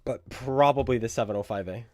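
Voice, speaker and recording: A man's voice: mid-range voice, a little fast, darkish tone, dry recording. A man from New England with a plain American accent, chatting with viewers while he streams a tank game. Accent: plain General American, Boston trained out. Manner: chatty, talks to viewers by name. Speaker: gaming streamer. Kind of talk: casual chat while playing a tank game, reading chat.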